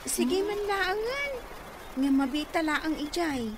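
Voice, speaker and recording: A woman's voice: high-pitched, big pitch swings, measured pace. A woman with an Ilocano accent playing a cautious, indulgent aunt in a radio comedy drama. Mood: relenting. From Philippines. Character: cautious, indulgent aunt minding her niece. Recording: clearly noisy background ambience.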